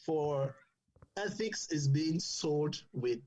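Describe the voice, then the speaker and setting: A man's voice: a little high, animated, very slow, a little mellow, rough recording. A man from Cameroon, a doctor speaking earnestly as a podcast guest, often restarting his sentences. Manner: earnest, advocating, with frequent restarts. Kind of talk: earnest explanatory podcast talk.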